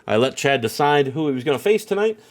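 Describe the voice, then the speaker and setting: A man's voice: on the high side, big pitch swings, quick pace. A man with a stuffed-up nose giving casual, rambling commentary on a wrestling game, full of filler phrases. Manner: rambling, heavy on "you know" and "at the end of the day".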